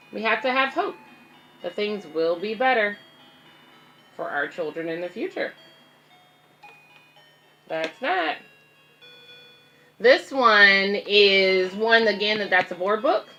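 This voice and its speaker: A woman's voice: medium pitch, animated, slow pace, long pauses, sharp tone, highs cut off, faint music behind. A woman reading a picture book aloud as a babysitter, explaining it simply to children and parents with casual asides. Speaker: babysitter and children's story reader.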